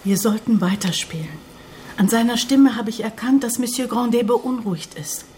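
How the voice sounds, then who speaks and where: Actress as an eager, polite young woman, high voice. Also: normal speed, darkish tone, natural voice. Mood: anxious, polite. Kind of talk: German radio drama acting. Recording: clear background noise with ambience.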